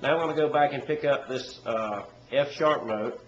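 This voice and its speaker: A man with a head cold and a stuffy nose, teaching banjo in a relaxed, rambling way with many pauses. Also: medium pitch, soft background music. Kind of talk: casual instructional talk. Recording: echoey room with dull, lo-fi sound. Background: loud banjo playing.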